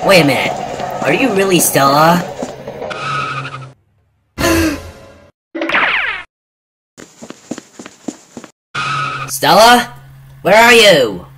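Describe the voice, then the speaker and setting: An amateur voice actor dubbing cartoon characters in a theatrical, halting manner. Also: medium pitch, huge pitch swings, pushed and bright, faint music behind. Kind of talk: dramatic cartoon fan dub, many character voices.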